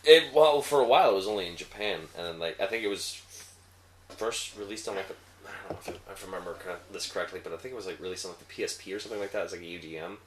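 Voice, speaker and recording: A man giving casual movie opinions as a panelist, halting and often correcting himself as he goes. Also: sits low, slight background noise. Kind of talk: casual review chat. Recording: echoey room.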